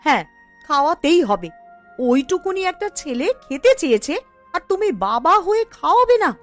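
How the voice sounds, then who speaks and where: A woman voicing a pleading, nagging young mother in a cartoon, insistent and expressive. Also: high-pitched, moderate pace, soft background music. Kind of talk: cartoon voice acting.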